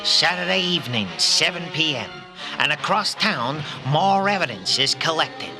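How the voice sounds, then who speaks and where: A dramatic, earnest male announcer played by an actor narrates a detective serial in old-time radio fashion. His voice is on the high side for a man. Prominent music plays behind his scripted narration. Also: expressive, normal speed, rough recording.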